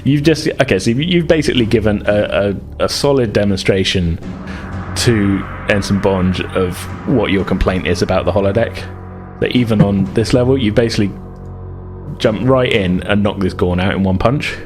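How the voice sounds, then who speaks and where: A man's voice: fairly low pitch, normal speed, soft background music. A man acting as game master, narrating a tabletop game in an improvised, hesitant, conversational way.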